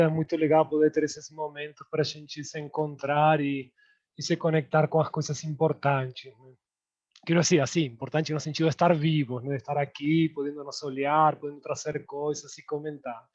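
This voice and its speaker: A man from Argentina with an Argentine Spanish accent, speaking as a guest artist in a relaxed, digressive way with many hesitations. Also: fairly high pitch, dull audio. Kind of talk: online panel talk.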